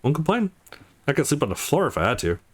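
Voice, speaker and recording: Man acting a friendly young guy, hugely animated. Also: fairly high pitch, fast talker, breathy edge. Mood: easygoing. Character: joking, friendly young man, eager and supportive. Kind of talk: visual novel dialogue read aloud.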